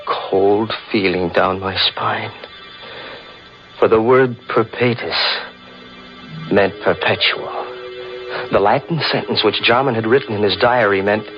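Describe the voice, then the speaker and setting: A man acting an obsessive, scheming young chemistry teacher and narrator in a 1940s radio mystery, dramatic and brooding. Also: low voice. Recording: muffled vintage radio recording with music.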